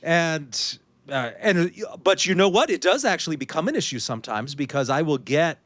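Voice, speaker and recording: Lively, animated man hosting a podcast. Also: a little high, moderate pace, no room sound. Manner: animated, laughs often, mimics others in quotes. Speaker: podcast host and editor. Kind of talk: casual conversational interview.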